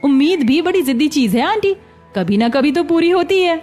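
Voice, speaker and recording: A woman's voice: high-pitched, expressive, fairly quick, darkish tone, faint music behind. A woman voicing a young beggar woman, self-doubting and blunt, in emotional cartoon story dialogue. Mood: hopeful.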